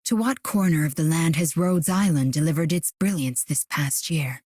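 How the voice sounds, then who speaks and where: A woman's voice: deep voice, moderate pace, a little mellow, husky, no room sound, clean studio sound. A woman voicing a solemn, resolute young female warrior in scripted video game lines. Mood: proud, resolute.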